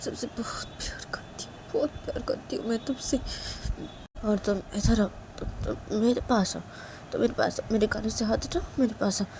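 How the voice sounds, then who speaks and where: A woman voicing a remorseful, tender lover in a story, softly and insistently repeating reassurances and promises. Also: fairly high pitch, moderate pace, noisy background, voice pushed up, close to an everyday voice. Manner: soft, insistent, repetitive reassurance.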